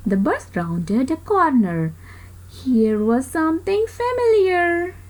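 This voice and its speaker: A woman reading a children's story aloud in an expressive way, with dramatic pauses and emphasis. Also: sits high.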